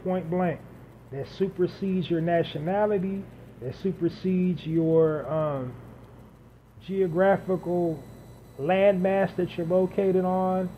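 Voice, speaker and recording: A man hosting a solo podcast, talking unscripted and building points through emphatic repetition and lists. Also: high-pitched. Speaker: podcast host. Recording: dull lo-fi audio with faint hiss.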